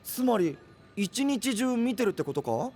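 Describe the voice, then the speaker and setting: Simple-hearted young hero, fairly high pitch, plain-spoken, earnest, audio drama, faint music. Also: male voice, sing-song, a little slow, darkish tone, husky, full character voice. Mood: naive, curious. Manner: blunt, naive, boyish casual speech. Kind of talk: anime-style audio drama acting.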